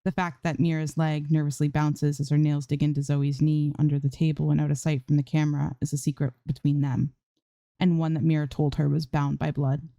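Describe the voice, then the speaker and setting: Mellow woman narrating a story. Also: sits low, flat delivery, a little fast, no room sound. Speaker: amateur fan fiction narrator.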